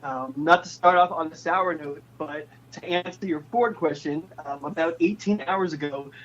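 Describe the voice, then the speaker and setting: A young man talks in a casual, playful interview style. His voice sits a little high. The phone recording is muffled, with noise underneath. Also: moderate pace, fairly bright tone. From New England, United States. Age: 20s. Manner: chatty, playful. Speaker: interview guest, pop singer. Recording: muffled noisy phone connection.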